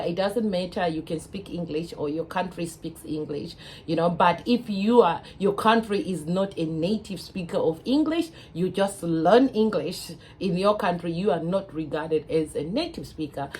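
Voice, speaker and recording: A Zimbabwean woman in her thirties talks casually to her viewers, giving earnest advice and filling pauses with plenty of ums and uhs. Her voice sits a little low for a woman. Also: darkish tone. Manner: earnest, advising, with frequent fillers. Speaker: English teacher and vlogger. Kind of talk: casual talk to camera.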